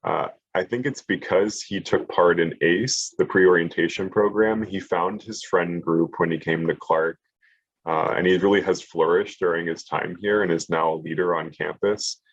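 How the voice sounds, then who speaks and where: Guy running a college prep zoom workshop, deep raspy voice, kinda muffled dry audio. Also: steady tone, normal speed, no echo. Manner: casual, lots of fillers and restarts. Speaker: college admissions presenter. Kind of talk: online workshop presentation.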